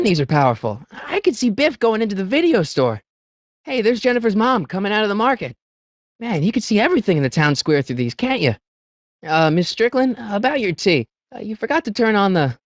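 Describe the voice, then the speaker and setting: An actor playing an excitable, wisecracking American teenage boy in a video game, with a youthful voice and a hesitant, boyish delivery. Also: high voice, no room sound, studio quality. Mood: nervous, hesitant. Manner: boyish, hesitant, stammering asides and exclamations. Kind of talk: video game voice acting, short character lines.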